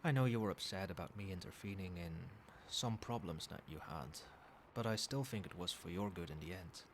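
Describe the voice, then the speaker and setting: A man playing a doting yet controlling, quietly menacing boyfriend in a soft-spoken ASMR roleplay, coaxing tenderly with pet names. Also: low-pitched, a little fast, lightly acted. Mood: quietly threatening. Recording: steady background noise, night ambience. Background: rain and cricket sounds.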